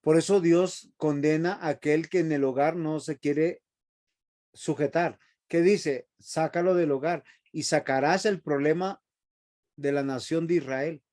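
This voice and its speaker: A man's voice: a little high, fairly even intonation, a little slow, darkish tone. A man teaching a Bible seminary class in a preachy way, often asking the students questions.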